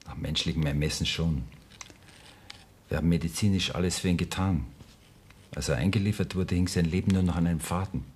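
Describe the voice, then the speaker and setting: An actor playing a sober, formal male hospital doctor speaking gravely in a radio drama. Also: low-pitched, steady tone, a little fast, long pauses, darkish tone.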